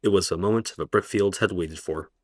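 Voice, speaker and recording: A man playing an elderly, kindly Oxford professor who speaks in a calm, measured, reassuring way in a radio play. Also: low voice, no echo, studio quality. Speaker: professor character.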